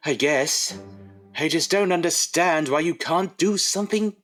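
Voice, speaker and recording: Fretful, slow-speaking husband. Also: male voice, fairly high pitch, a bit bright, soft background music. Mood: pleading, exasperated. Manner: fretful, pleading. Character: anxious, exasperated husband in Edwardian London household service. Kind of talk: audio drama acting.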